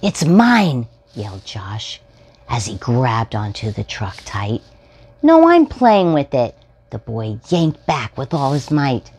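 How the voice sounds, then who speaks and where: A woman's voice: very deep voice, vocal fry, slight background noise. A woman in her forties reading a children's story aloud expressively, as a storytime host. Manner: expressive, addressing young children. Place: small furnished room at home, indoors.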